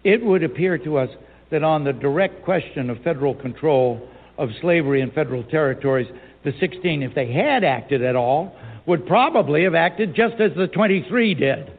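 Formal orator, thin phone-like hall sound. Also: male voice, fairly high pitch, animated, moderate pace. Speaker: speaker reciting a historical speech. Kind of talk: formal oratory, reading a 19th-century political speech.

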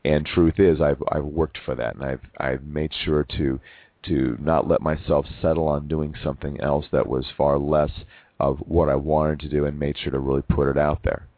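A man in his forties, hosting a reflective personal monologue, who talks haltingly with many restarts and repeated words. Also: very deep voice, little pitch movement, no echo. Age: 40s. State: losing his voice from overwork. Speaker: podcast host. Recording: narrow phone-like sound.

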